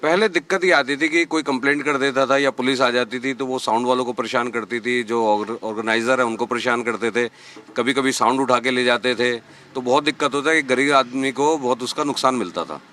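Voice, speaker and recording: A man's voice: mid-range voice, brisk, sharp tone, a little hiss or hum. A man, a community organiser, giving spoken answers in a news interview.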